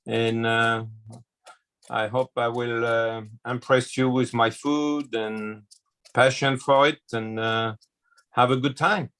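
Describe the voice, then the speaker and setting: A man in his sixties from France speaks English with a clear French accent, unhurried and careful. His voice stays level with little rise and fall as he tells his life story in an interview. He pauses often and fills the gaps with small hesitations. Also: medium pitch, flat delivery, a bit bright. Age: 60s. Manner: slow, hesitant, full of fillers. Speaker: chef.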